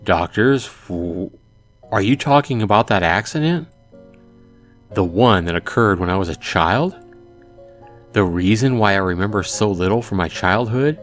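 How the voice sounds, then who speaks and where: A man's voice: lowish voice, expressive, measured pace, pauses often, faint music behind. A man playing an earnest, self-doubting teenage boy in a visual novel, speaking hesitantly. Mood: confused. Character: earnest, self-doubting teenage son telling his story.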